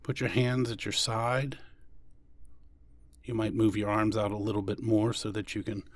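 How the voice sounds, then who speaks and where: A man leading a guided body scan meditation as an instructor, speaking gently and unhurriedly with long pauses. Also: on the low side, flat delivery, no echo, far from the mic.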